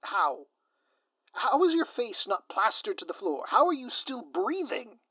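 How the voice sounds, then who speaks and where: A man playing a scheming, theatrical supervillain in a scripted ASMR audio roleplay, with a pompous, florid, drawn-out delivery. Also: extremely high pitch, very animated, bright, forceful tone, slightly rough, no room sound, exaggerated voice. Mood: flustered, incredulous. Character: theatrical scheming male supervillain, gloating, menacing, easily flustered.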